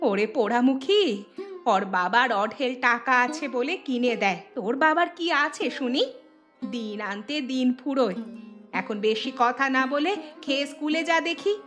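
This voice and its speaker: A woman voicing a poor, weary village mother in a cartoon, nagging and sharp-tongued in rustic colloquial speech. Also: high voice, expressive, normal speed. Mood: scolding. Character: harried poor village mother, scolding, weary and long-suffering. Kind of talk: cartoon story dialogue. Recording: music behind the voice.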